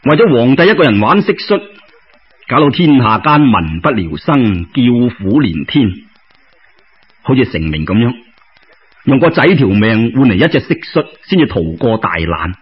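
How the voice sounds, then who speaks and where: Male Cantonese radio storyteller: husky, animated, colloquial narration with exclamations; dull lo-fi recording. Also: mid-range voice. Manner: colloquial, expressive, with exclamations and drawn-out particles. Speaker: storyteller, radio show host.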